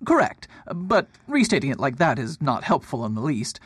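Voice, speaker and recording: A man's voice: mid-range voice, big pitch swings, normal speed, fairly bright tone, slightly rough, no room sound. A man playing a proud, pompous detective and academic in a radio drama, with precise, wordy delivery. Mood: self-assured.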